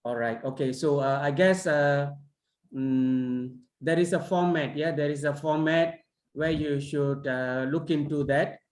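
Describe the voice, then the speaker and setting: A man chairing an online conference session and giving feedback on a paper, halting and full of fillers and restarts. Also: a little high, darkish tone. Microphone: video call. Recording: roomy echo.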